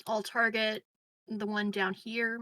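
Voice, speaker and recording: A woman's voice: mid-range voice, flat delivery, takes it slowly, bright, forceful tone, dry recording, poor audio. A woman player in a tabletop roleplaying game, thinking aloud through a turn in a casual way.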